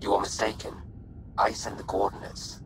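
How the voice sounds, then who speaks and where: A man voicing a calm, damaged artificial intelligence in a video game, speaking in formal, measured, matter-of-fact phrases. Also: fairly low pitch, background noise, close to an everyday voice. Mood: flat, formal.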